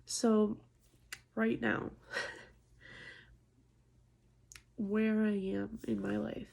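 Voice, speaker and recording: A woman in her early thirties talking casually and candidly to viewers in a personal vlog, halting and self-deprecating, with a husky, gravelly voice. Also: medium pitch, flat delivery, a little mellow. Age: early 30s. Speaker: nurse and vlogger.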